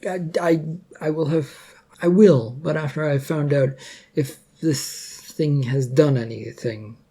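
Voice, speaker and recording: A man's voice: on the high side, slow pace, mellow, breathy edge, exaggerated voice. A man voicing a nervous, self-doubting would-be hero in an audio story, hesitant and stammering. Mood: flustered. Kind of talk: audio story character dialogue.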